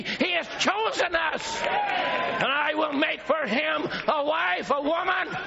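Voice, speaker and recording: A man preaching a fiery sermon to his church congregation, often shouting in a high, strained voice. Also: vocal fry. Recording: dull lo-fi church recording with congregation.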